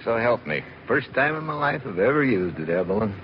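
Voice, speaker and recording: A man's voice: mid-range voice, very animated, brisk, lightly acted. An actor playing a breezy womanizing secret agent, wry and casual, in 1940s radio acting. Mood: sheepish, casual. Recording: dull hissy 1940s radio recording.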